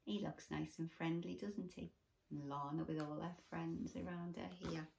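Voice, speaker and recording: A woman's voice: low-pitched, steady tone, moderate pace, gravelly, a little mellow, slight background noise. A woman reading a children's bedtime story aloud expressively, with little asides to young listeners.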